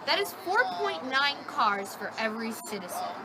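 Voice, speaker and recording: A young woman playing a chipper male car salesman in an amateur skit sales pitch. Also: very animated, moderate pace. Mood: upbeat pitch. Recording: echoey room, faint noise.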